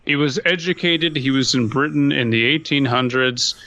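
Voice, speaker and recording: Bright-voiced man chatting on a podcast. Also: medium pitch, moderate pace, dull audio, slight background noise. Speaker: podcast co-host. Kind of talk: casual opinionated chat.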